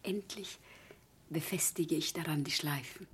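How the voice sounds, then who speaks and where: An actress playing a grieving, anxious Parisian wife in an old German radio drama, hushed and halting. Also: female voice, low-pitched, exaggerated voice. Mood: subdued, weary. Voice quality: breathy, hushed. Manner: slow, halting, dramatic delivery.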